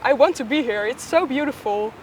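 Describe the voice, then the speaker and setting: A woman's voice: high-pitched, fairly quick, poor audio. A woman explaining her work in an interview, searching for words as she goes. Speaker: audio play maker. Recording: faint background noise.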